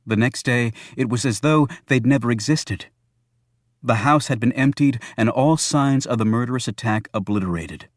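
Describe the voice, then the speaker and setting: A man's voice: mid-range voice, moderate pace, no echo, clean studio sound. A man narrating a thriller novel as a scripted audiobook.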